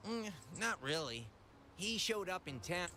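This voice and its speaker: A man's voice: sits high, big pitch swings, a little slow, pushed and bright, slight background noise, exaggerated voice. An actor playing a concerned townsman in a game.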